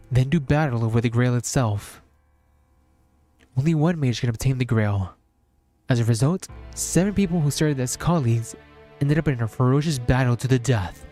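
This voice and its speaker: A man's voice: medium pitch, mellow. A man streaming as a VTuber, reading a visual novel aloud as narration.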